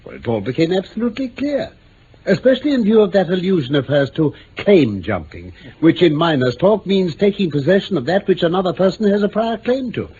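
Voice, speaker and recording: Seeking a courteous, self-assured British detective for old radio drama: swooping intonation, precise explanations, faint hiss. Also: male voice, high voice, big pitch swings, a little fast, darkish tone. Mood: assured, explanatory. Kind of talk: 1950s-60s BBC radio drama acting. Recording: dull vintage radio recording, faint noise.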